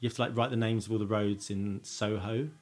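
A man co-hosts a relaxed podcast conversation. He rambles loosely and stops now and then to hunt for a word. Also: lowish voice, a little fast. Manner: relaxed, rambling, searching for words. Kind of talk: casual podcast chat.

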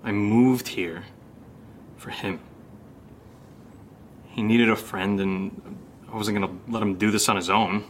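A man's voice: fairly low pitch, steady tone, slow pace, pauses often, a little hiss or hum. A man acting an anxious young American who plays the rational friend, in a naturalistic horror audio drama. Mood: uneasy, confiding. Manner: casual, hesitant, sweary.

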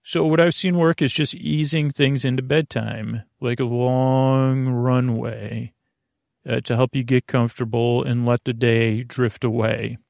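A man hosting a bedtime podcast in a rambling, halting monologue, trailing off, digressing and circling back to his point. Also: mid-range voice, fairly even intonation, vocal fry, a little mellow, dry recording, clean studio sound. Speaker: podcast host.

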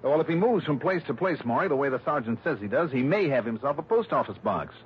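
A man's voice: mid-range voice, expressive, fast talker, close to an everyday voice. An actor playing a dry, unflappable male investigator in a 1960s radio detective drama. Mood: wry, confident. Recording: muffled, noisy old radio broadcast.

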